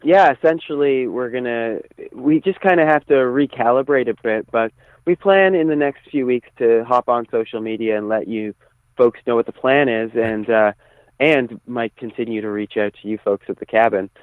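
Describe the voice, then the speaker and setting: A man's voice: mid-range voice, moderate pace, clean tone, no echo. A man chatting as an interview guest over the phone, choosing his words thoughtfully. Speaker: musician guest. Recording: tinny narrow phone line.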